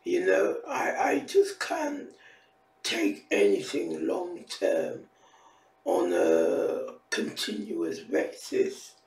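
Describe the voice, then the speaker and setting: A hoarse woman in her fifties who is losing her voice, rambling through a casual vlog and repeating her phrases. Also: medium pitch, darkish tone, roomy sound, far from the mic, rough recording. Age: 50s. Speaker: vlogger.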